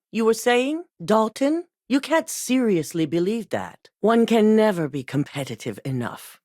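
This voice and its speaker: A calculating female executive gives orders with clipped, unbothered authority. Her voice sits fairly low yet rises and falls widely as she weighs her words. The studio recording is completely dry, with no room sound. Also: darkish tone, dry recording. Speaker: corporate boss giving missions. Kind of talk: video game voice acting.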